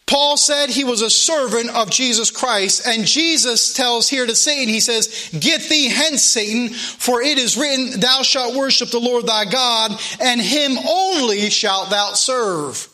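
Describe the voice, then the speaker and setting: A man's voice: very high voice, unhurried, hardly any pauses, pushed and bright. A man with an American accent preaching a fervent sermon, emphatic and exhorting, repeating phrases to drive them home. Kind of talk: fervent sermon with scripture readings.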